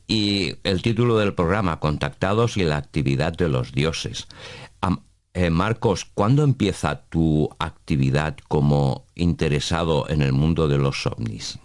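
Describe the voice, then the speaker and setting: A man hosting a radio interview, putting questions to a guest in halting phrases with pauses. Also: extremely low pitch, dry recording, clean studio sound.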